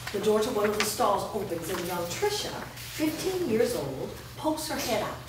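A woman reads stage directions as scripted narration. She is recorded from far off in a very echoey room, with clear background noise. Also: medium pitch, sing-song, normal speed. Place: bare indoor room with small audience. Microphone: far camera. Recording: echoey room, distant mic, noisy.

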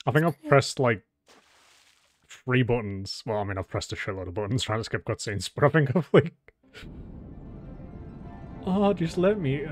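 A man's voice: mid-range voice, expressive, normal speed, pauses often. A man doing casual, sweary gaming commentary, exasperated and sarcastic. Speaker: let's play streamer. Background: game music.

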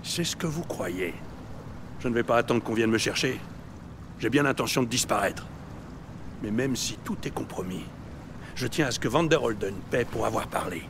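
An actor playing a menacing mob don in an audio series, formal, measured and coldly threatening. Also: male voice, mid-range voice, expressive, vocal fry, background noise. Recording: music behind the voice.

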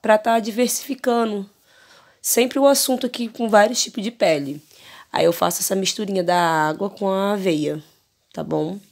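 A Brazilian woman in her thirties, hoarse from an allergy, chatting casually to her viewers from her bedroom with a phone camera close to her face. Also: medium pitch, normal speed, a little mellow. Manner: chatty, hesitant, many fillers. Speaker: beauty vlogger. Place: bedroom at home, lying on pillows.

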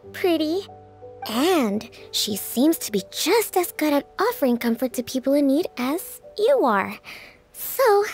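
A woman voicing a calm, gentle young leader in a video game, reassuring in tone. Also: high voice, exaggerated voice.

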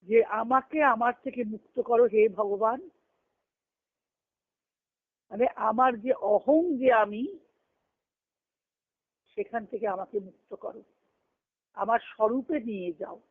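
A woman giving an earnest, emphatic devotional discourse over a conference phone line, reciting scripture and verse and pausing between short phrases. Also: fairly high pitch. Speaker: spiritual discourse speaker. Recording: tinny band-limited conference phone call.

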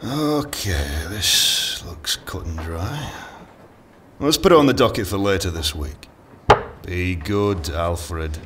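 An actor playing a wry, unflappable judge in an audio drama, dryly courteous with muttered asides. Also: male voice, deep voice, lively intonation, slow, background noise.

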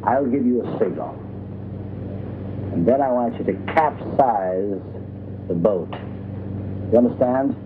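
An actor in his thirties playing a scheming gangster skipper in a 1960s film comedy, giving instructions in a deliberate way. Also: mid-range voice, lively intonation. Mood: instructing. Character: scheming gangster skipper, patiently laying out a plan. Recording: muffled, noisy old film soundtrack.

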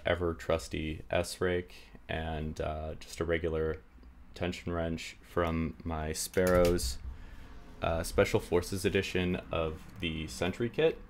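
Low-pitched male hobbyist, unhurried, stuffy nose, rambling tabletop demo. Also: fairly even intonation, a little hiss or hum. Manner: rambling, self-deprecating, many fillers. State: stuffy, getting sick. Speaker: hobby lockpicker demonstrating. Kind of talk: casual hands-on tutorial. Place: indoors at a table.

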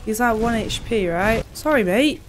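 A woman's voice: fairly high pitch, lively intonation, normal speed, slight background noise. A young woman doing casual gameplay commentary, playful with dry complaints, close on a desk microphone. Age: young adult. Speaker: game streamer. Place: small room at home, indoors. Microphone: desk microphone, close, with headset. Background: game music.

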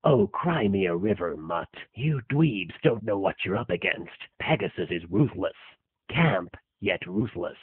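Haughty young tycoon duelist played by a man, low and husky, sneering, for a cartoon parody; dry, phone-like sound. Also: animated, unhurried, no echo, full character voice. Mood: scornful. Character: arrogant rich young male card duelist, scornful. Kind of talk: parody anime dub. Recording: muffled tinny low-fidelity web audio, dry.

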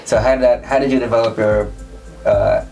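A man's voice: medium pitch, steady tone, a little fast, mellow, soft background music, low-quality recording. A man from New York, hosting the interview and asking hesitant, rephrased questions.

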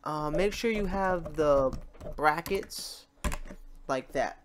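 Casual, halting male tutorial host. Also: on the high side, a little hiss or hum. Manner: informal, thinking aloud, halting. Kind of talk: casual screen-recorded software tutorial. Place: indoors at a computer, keyboard typing behind.

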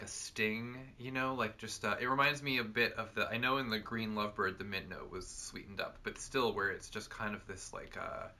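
A man's voice: on the low side, sharp tone. A young man co-hosting a perfume review, chatting casually with lots of fillers in an indoor room. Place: cluttered indoor room with bookshelves.

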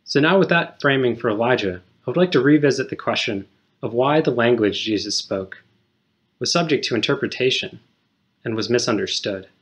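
A man in his thirties giving a measured, scripted short sermon in a small indoor room. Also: medium pitch, expressive, a bit bright. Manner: measured, explanatory, reads scripture aloud.

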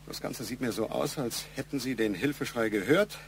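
An actor playing an insistent investigator in a German radio crime drama, probing and emphatic. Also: male voice, mid-range voice, moderate pace, a little hiss or hum, close to an everyday voice. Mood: accusing. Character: insistent male investigator pressing a witness hard.

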